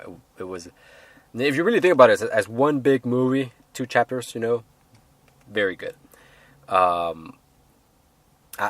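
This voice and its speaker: A man reviewing a film in a casual, unscripted way, halting and rambling as he hedges his points. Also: mid-range voice, no room sound.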